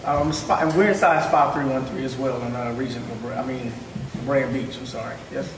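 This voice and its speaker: A man's voice: mid-range voice, fairly even intonation, normal speed, noisy background. A man in his thirties on a panel, speaking earnestly and conversationally about his barbering work, with many fillers. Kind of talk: panel discussion. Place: large hall with seated audience. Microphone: far camera. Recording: echoey hall from far off.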